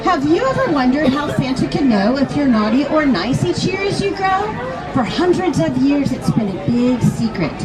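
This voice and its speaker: High-voiced woman reading to children amid crowd noise. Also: very animated, moderate pace, low-quality recording. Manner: addresses children with frequent simple questions. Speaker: author reading a children's book aloud. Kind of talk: storytelling to an audience of children. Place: large busy toy store event. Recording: busy public event, crowd noise, loud music.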